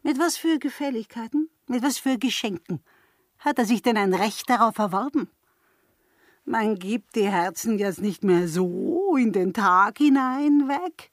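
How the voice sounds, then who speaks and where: Shrewd young lady's maid, high husky voice, coy, saucy, sharp-tongued, comic radio drama. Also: animated, measured pace, no room sound, clean studio sound. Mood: scornful. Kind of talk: comic period radio play acting.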